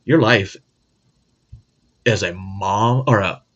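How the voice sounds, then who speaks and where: A man's voice: fairly low pitch, slow, a bit bright, dull audio. A middle-aged man hosting a casual monologue, blunt, profane and joking. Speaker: host.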